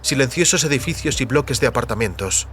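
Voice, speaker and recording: Un hombre narra con guion un relato dramático de ciencia ficción. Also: male voice, mid-range voice, lively intonation, fairly quick, a bit bright, slight background noise. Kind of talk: scripted dramatic story narration.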